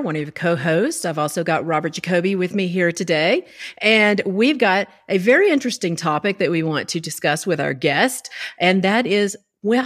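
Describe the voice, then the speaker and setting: Woman, mid pitch, podcast co-host, casual chat, friendly teasing manner.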